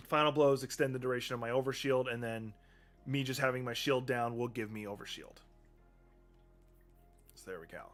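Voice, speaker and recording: A male streamer with a lilt, rambling casually through his loadout with frequent ums and self-corrections. His voice is mid-pitched and wearing thin and hoarse from a long session, with faint music playing underneath. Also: normal speed, pauses often. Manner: rambling, many ums and restarts, talking through menus. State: losing his voice. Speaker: game streamer. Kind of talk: casual live-stream commentary.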